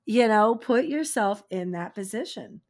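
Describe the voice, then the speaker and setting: Raspy-voiced woman, worn out. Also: medium pitch, lively intonation, unhurried, a little mellow. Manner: short interjections, trailing off. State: strained, tired voice. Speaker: podcast co-host. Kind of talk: casual chat.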